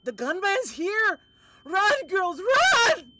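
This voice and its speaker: A woman's voice: extremely high pitch, big pitch swings, very slow, heavy background noise, exaggerated voice. A woman playing a protective, worried family matriarch in an audio play, urgent in manner.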